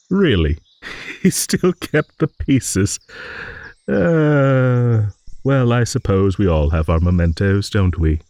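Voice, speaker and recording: Man voicing a slow, low old dragon. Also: no room sound, exaggerated voice. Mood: fond reminiscing. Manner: rambling, musing, with hums and soft chuckles. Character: rambling old dragon, genial, wistful and a little vain. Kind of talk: improvised fantasy audio monologue.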